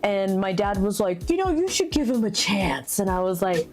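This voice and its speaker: A woman, the host's wife, telling a story in a casual chat, giggling and animated as she goes. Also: medium pitch, moderate pace, soft background music. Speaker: streamer's wife, guest.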